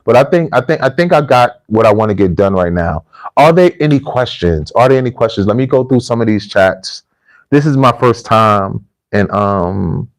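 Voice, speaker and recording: A man's voice: fairly low pitch, low-quality recording. A man with an American accent hosting a casual livestream, talking informally to viewers and often repeating his phrases for emphasis. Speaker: livestream host and repatriation coach. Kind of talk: casual livestream talk, addressing viewers and telling anecdotes.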